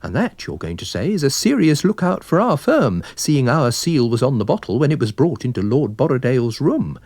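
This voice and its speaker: Guy playing polite wine salesman sleuth in an audiobook story, really animated pitch swings, dry close sound. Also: normal speed, no echo. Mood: polite, reasoning. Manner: polite, tactful, gently pointed. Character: affable, observant wine salesman turned amateur sleuth, male. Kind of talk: dramatised audiobook reading.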